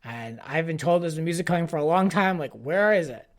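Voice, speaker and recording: Male podcast host, slightly high voice, dry close sound, informal and encouraging chat. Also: expressive, brisk, no room sound.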